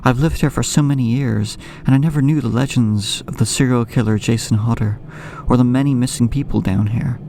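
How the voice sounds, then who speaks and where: Man playing a haunted, creaky-voiced young horror narrator. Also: medium pitch, dark tone, slight background noise, natural voice. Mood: uneasy, reflective. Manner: brooding, ornate, measured storytelling. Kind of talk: scripted first-person horror audio drama narration. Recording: music bed under the voice. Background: clear background music.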